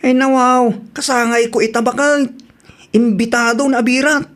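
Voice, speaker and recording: Woman playing friendly but fed-up husband, very high voice, ilocano accent, radio drama. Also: fairly even intonation, normal speed, close to an everyday voice. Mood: exasperated.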